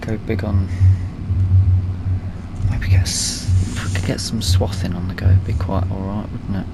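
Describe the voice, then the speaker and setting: A British man giving casual, unhurried let's-play commentary, thinking aloud with chatty tag questions. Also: little pitch movement, vocal fry. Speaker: gaming video host. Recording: engine rumble and noise behind voice. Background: farming game vehicle sounds.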